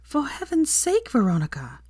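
Fond, gently exasperated young woman played by a female narrator, raised pitch, teasing, dry sound, fiction. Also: wildly swooping pitch, normal speed, breathy edge, no room sound, heavily acted.

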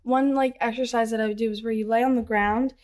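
A woman's voice: a little high, steady tone, fast, a little mellow. Chatty teen girl explaining singing. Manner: chatty, explains with many "like" fillers. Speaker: guest singer. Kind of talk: casual chat about singing.

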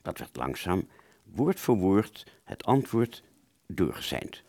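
Deep, gravelly, dramatic male storyteller. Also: very animated, a little mellow, dry recording. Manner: hesitant, conversational, with drawn-out words and sudden emphasis. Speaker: storyteller in an audio play. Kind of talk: dramatic first-person narration.

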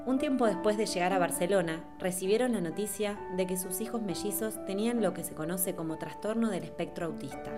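A woman's voice: mid-range voice, brisk, hardly any pauses. A woman reading a scripted podcast introduction as host. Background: music.

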